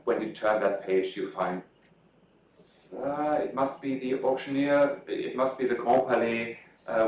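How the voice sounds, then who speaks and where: A middle-aged man speaks English with a German accent, pausing often with ums and restarting his sentences. His dry, self-mocking remarks come through clearly. He stands some way from the mic in a roomy, echoing lecture hall. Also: medium pitch, distant mic, slight background noise. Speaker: author presenting his book. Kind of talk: lecture talk, then interview answers. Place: lecture room.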